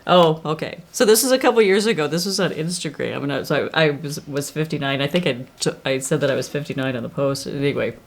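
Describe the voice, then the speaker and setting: A woman's voice: deep voice, fast talker, creaky, few pauses, a little hiss or hum. A woman in her sixties chatting casually and laughing as she reads comments aloud. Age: 60s. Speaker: guest co-host. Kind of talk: casual chat.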